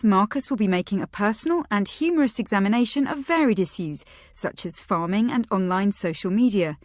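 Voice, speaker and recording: A woman reads a scripted formal statement. Her voice is medium in pitch and recorded very dry, without room sound. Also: no echo.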